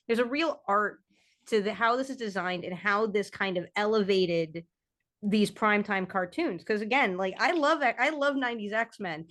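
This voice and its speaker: A woman's voice: mid-range voice, a bit bright. A woman co-hosting a casual discussion podcast, talking emphatically and repeating phrases for stress. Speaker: podcast co-host.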